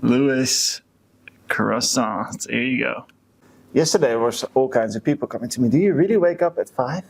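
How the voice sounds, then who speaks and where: A man co-hosting a podcast in casual chat, with a hesitant, halting way of talking that often restarts mid-sentence. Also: mid-range voice, gravelly, slight background noise. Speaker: podcast co-host.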